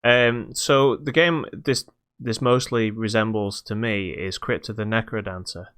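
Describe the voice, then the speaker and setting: A man's voice: fairly low pitch, fairly even intonation, a little slow, fairly bright tone, smooth. A man co-hosting a podcast, chatting casually about video games. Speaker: podcast co-host. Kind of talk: casual gaming discussion.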